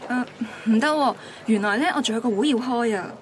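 An actress as a blunt, higher-voiced young woman. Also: a little fast, background noise, natural voice. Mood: cool, evasive. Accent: Hong Kong Cantonese. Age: young adult. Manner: matter-of-fact, dismissive. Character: blunt, ambitious young female student, unsentimental girlfriend. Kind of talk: radio drama acting.

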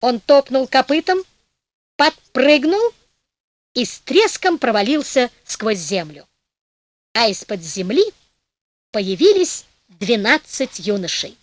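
Woman narrator, fairy-tale audiobook, expressive, very lively melody, high voice. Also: takes it slowly, pauses often, no room sound. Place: recording studio.

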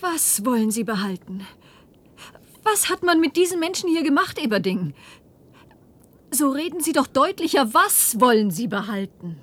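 A woman's voice: high voice, sing-song, moderate pace, long pauses. An actress playing an urgent, bewildered woman who questions insistently in a science-fiction radio drama.

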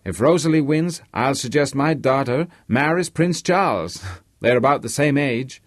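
A man's voice: medium pitch, normal speed, dry recording, clean studio sound, voice pushed up, lightly acted. A man voicing a boastful, blustering, talkative rich American racehorse owner in an audiobook. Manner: loquacious, blustering. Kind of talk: audiobook character voice.

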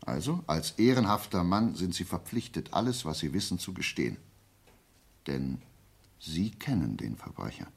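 An actor playing a shrewd, insistent gentleman detective in a German radio play, questioning formally and deliberately in a gravelly voice. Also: sits low, expressive, heavily acted. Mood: insistent, measured.

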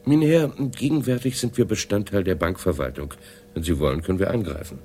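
Low-voiced, polite male assistant played by an actor. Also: fairly quick, warm tone, slight background noise, natural voice. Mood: polite, businesslike. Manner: polite, explanatory. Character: deferential, methodical assistant presenting computer findings. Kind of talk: 1980s German radio play acting.

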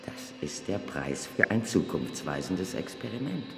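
Cool driven lady prosecutor, very deep creaky voice, formal, radio play w music behind. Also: sing-song, moderate pace, heavily acted. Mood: guarded. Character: cool, ambitious female state prosecutor, guarded and driven. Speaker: actor in radio drama. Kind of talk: German radio drama acting, dialogue and dictated reports.

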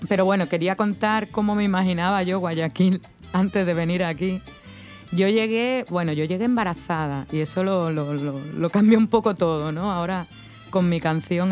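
A woman in her thirties from Cádiz, with a mixed Andalusian Spanish accent, chatting and telling stories in a lively way. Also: on the low side. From Spain (Cádiz). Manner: chatty, animated storytelling with frequent "¿no?". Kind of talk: casual conversation.

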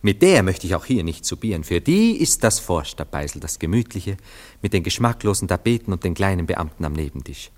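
An actor in a German radio play, playing a self-dramatizing, indecisive lover, effusive and hesitant. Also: male voice, deep voice, big pitch swings, a little fast, darkish tone. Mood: dreamy, sentimental. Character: self-dramatizing, sentimental, indecisive male lover, a Viennese dandy.

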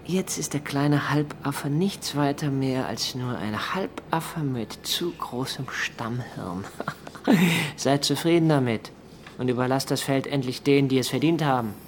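A man's voice: medium pitch, unhurried, a little hiss or hum, close to an everyday voice. A male actor playing a cocky, jealous young student bully in a radio drama, with a mocking, taunting manner. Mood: smug, threatening.

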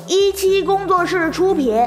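Very high, slow woman announcer. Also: in a large hall, music in the background. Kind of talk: scripted drama title announcement.

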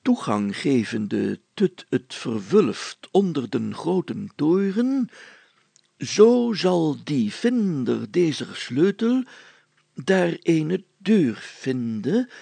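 Guy doing a pompous fussy old-fashioned mayor for a story, raised voice, spluttery, pitch all over the place. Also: vocal fry, warm tone, slightly rough, dry recording, full character voice. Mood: slow, solemn. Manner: spluttering, hesitant, exclamatory, stumbling while deciphering. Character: fussy, excitable, pompous town mayor, man.

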